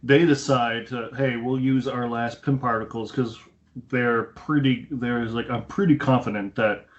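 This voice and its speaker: A man's voice: mid-range voice, steady tone, measured pace. Man on a dull internet call. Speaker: podcast co-host. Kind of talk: casual film review chat.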